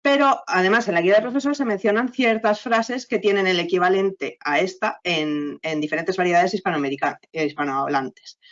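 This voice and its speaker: Low-voiced Spanish woman explaining a textbook. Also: a little fast, few pauses, muffled recording. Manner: explanatory, with frequent fillers. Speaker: textbook co-author. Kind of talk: online panel talk.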